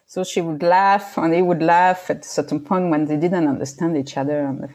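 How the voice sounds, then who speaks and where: Guest: middle-aged woman from Switzerland, low-ish voice, thoughtful and halting. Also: steady tone, darkish tone. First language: French. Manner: thoughtful, frequent restarts and fillers. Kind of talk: casual interview conversation.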